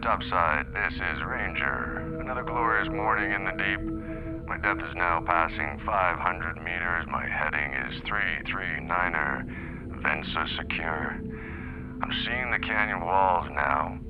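A very deep, slow-talking male submersible pilot, weary and clipped, on a radio log from underwater. The sound is muffled, with music behind him in an audio drama. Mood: flat, professional. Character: weary male submersible pilot logging dives, privately frightened. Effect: radio transmission.

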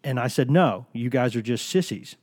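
A man's voice: mid-range voice, animated, moderate pace, a little mellow, no echo. A man hosting a casual sports podcast from a studio, talking while he has a cold, rambling and joking as he tells stories. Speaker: podcast host.

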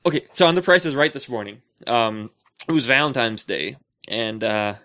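A man with a bright, forceful voice chats casually as a podcast co-host. He rambles along in an animated, joking manner, playing at indignation. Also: medium pitch, normal speed.

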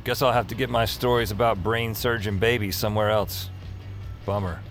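Low voiced dry sarcastic haunted hero telling his story, radio play, music behind. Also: fairly even intonation, normal speed, fairly bright tone. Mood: wry, wistful. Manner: dry, sardonic. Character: wry, haunted male urban-fantasy hero and narrator. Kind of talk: audio drama dialogue and noir-like narration.

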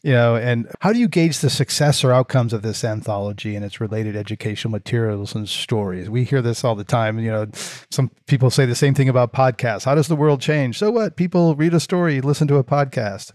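Man, podcast interviewer, medium pitch, conversational, dry recording. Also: a little fast, darkish tone, dry recording.

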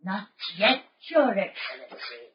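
An actress playing a curt old innkeeper's wife from colonial times in a radio drama, with archaic phrasing. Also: female voice, mid-range voice, big pitch swings, measured pace. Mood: deferential. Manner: curt, archaic servant-like speech. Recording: muffled, noisy old radio recording.

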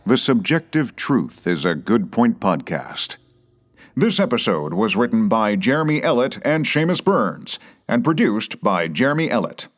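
A man's voice: fairly low pitch, very animated, unhurried, faint music behind. A man reading scripted closing credits as a podcast announcer.

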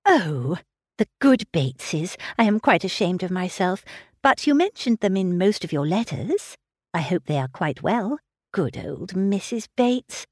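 A woman reading a soft-spoken young mother. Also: on the low side, big pitch swings, unhurried, no room sound, clean studio sound. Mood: polite. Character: gentle young mother, dutiful married daughter. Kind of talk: audiobook character reading.

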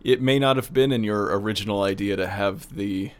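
A man with a fairly low voice chats as an audio producer. His delivery is conversational and informal, suited to a behind-the-scenes discussion. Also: a little fast, clean tone.

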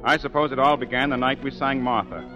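Male actor as wistful opera-singer storyteller, medium pitch, vintage radio drama, music underneath. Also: fast talker, pushed and bright, natural voice, low-quality recording. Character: wistful opera singer recounting dark past events. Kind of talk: 1940s radio drama narration. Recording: old 1940s radio broadcast.

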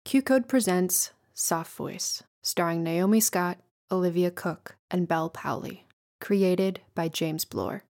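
Female announcer: scripted notices and credits, pitched a little low. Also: slow, hardly any pauses, darkish tone, dry recording, clean studio sound.

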